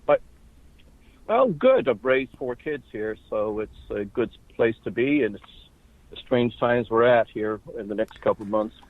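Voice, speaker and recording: A man in his sixties, from Ireland, telling his life story as an interview guest in a measured way. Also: mid-range voice, expressive, no echo. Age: 60s. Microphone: phone. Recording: tinny phone line.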